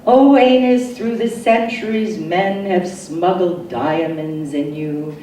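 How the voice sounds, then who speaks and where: A woman poet recites in a mellow, fairly low voice, lingering dramatically over each phrase with deliberate pauses. Her delivery is expressive and unhurried. The recording is very roomy and distant, with faint noise behind her. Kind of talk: poetry reading, recited expressively.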